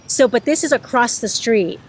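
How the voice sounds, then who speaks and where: A woman's voice: on the high side, lively intonation, moderate pace, rough recording. A woman vlogger talking casually with her mother, explaining step by step where an old family house once stood. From United States. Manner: explains step by step, thinking aloud. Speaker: vlogger showing family footage. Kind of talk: casual chat.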